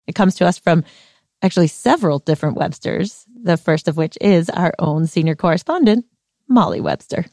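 A woman's voice: on the low side, lively intonation, moderate pace, a little mellow, dry recording, studio quality. A woman hosting in a casual, conversational way, thinking aloud as she goes. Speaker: radio show host.

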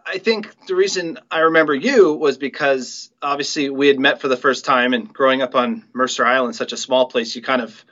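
Man, middle-aged, casual interview storyteller, bright crisp-edged tone, slightly dull lo-fi recording. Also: medium pitch, fairly even intonation, a little fast, hardly any pauses. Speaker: podcast guest, writer and director.

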